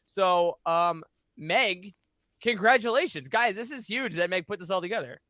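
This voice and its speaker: Male podcast host, thirties, high forceful voice, upbeat chat, close dry mic. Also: lively intonation, normal speed, no room sound. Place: small home studio room. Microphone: boom-arm microphone up close.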